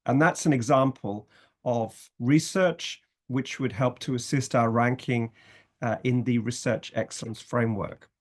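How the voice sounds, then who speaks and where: A middle-aged British man, a law professor, presenting a university programme in measured phrases with some fillers. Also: medium pitch. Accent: British English. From Britain, family from Scotland, raised in England.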